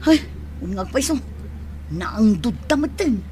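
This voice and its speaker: A high female voice from an actress plays a frightened, worn-out migrant worker in an Ilocano radio drama. She sounds anxious and plaintive, given to exclamations. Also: very animated, a little slow. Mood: weary grumbling. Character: frightened, exhausted woman migrant worker fleeing war.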